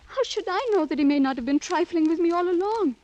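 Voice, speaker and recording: A young aristocratic lady in vintage radio drama style. Her voice is high, her speech formal and earnest. She carries herself with demure, wounded dignity. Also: lively intonation, fast, a little mellow, muffled recording. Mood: troubled, hesitant. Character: proud, demure young French noblewoman. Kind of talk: 1940s radio drama acting.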